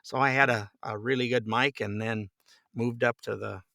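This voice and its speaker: Bright-voiced older man, dry studio sound. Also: mid-range voice, normal speed, vocal fry, no echo. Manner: animated storyteller, acts out quoted remarks, chuckles as talking. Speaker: podcast guest, voice actor. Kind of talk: casual anecdotal interview chat. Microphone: close studio microphone.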